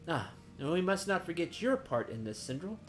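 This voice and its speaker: A man voicing a calm, wise guildmaster and mentor of nature magic in a fantasy game, formal and measured. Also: a little high, a little hiss or hum, voice pushed up. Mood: solemn, reassuring. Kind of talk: reading fantasy game dialogue aloud.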